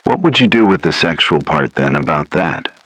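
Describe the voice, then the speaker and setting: A man's voice: extremely low pitch, expressive, moderate pace, a bit bright, no echo, low-quality recording. A man asking questions as an interviewer in an informal, conversational way.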